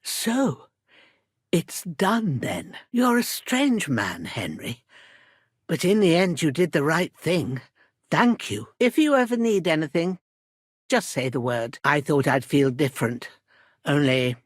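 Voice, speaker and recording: An actress playing an earnest old village herbalist and mother in a dramatic video game, with a weathered, husky voice. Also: lowish voice, big pitch swings, takes it slowly, no room sound, studio quality, exaggerated voice. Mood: grim, subdued. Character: worried old village herbalist mother, plain-spoken and grieving.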